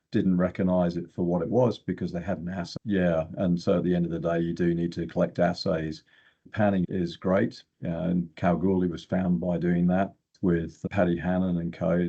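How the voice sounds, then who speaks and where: A man's voice: sits low, vocal fry, mellow, husky, lo-fi sound. An older man, an exploration geochemist, telling stories casually as an interview guest.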